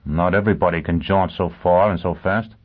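Storybook tough guy done by a male narrator: very deep, gruff, curt, defiant, slightly muffled sound. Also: steady tone, a little fast. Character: gruff, defiant, angry tough man, infatuated.

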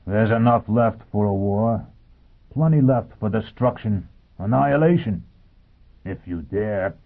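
A man's voice: on the low side, moderate pace, creaky, breathy edge. A man voicing a savage, fanatical fugitive in a science fiction audiobook, exhorting in short emphatic bursts. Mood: grim, triumphant. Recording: muffled, phone-like narrow band.

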